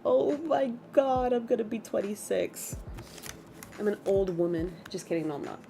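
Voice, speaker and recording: A woman's voice: medium pitch, moderate pace, vocal fry, a little hiss or hum. A woman in her mid-twenties chatting casually on a gaming livestream, reading chat and reacting aloud. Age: 20s. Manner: chatty, animated, reacts with gasps and laughs.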